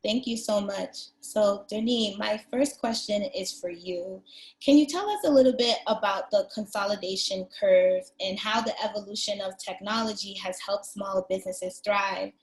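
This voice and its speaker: A woman moderates a panel discussion over a video call, and her voice rings in an echoey room. She thanks each guest and puts her questions politely, at a measured pace. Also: medium pitch. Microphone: video call webcam.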